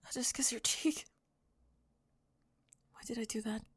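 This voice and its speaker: A woman's voice: a little high, measured pace, a bit bright, breathy edge, no echo, in a whisper, full character voice. Woman acting a flustered goth girl, close and dry. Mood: mortified. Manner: rambling, stammering, breathless panicked over-explaining. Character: flustered young goth girl, bully secretly in love. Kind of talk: ASMR roleplay acting.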